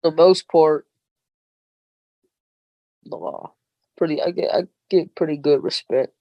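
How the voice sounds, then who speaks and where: A woman's voice: low-pitched, takes it slowly, dry recording, dull audio. A woman rapper talking as a guest in a casual podcast interview, laid-back and slangy, often saying "you know what I'm saying".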